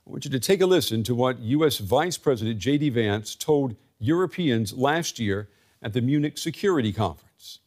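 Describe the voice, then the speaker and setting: Measured male news anchor. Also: mid-range voice, lively intonation, darkish tone. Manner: measured, scripted delivery with occasional ums in interviews. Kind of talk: broadcast news presenting.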